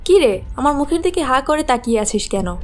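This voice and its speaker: A woman voicing a scheming, spiteful young stepmother in a fairy-tale cartoon, sharp-tongued and scornful. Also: high voice, expressive, brisk, faint music behind. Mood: scornful, scolding.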